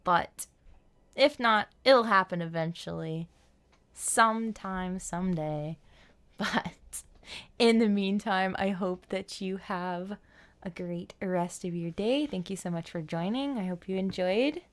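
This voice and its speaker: A woman hosting a game livestream, chatting casually in an animated, playful, rambling way. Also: medium pitch, slow pace. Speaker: game streamer and host. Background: background music.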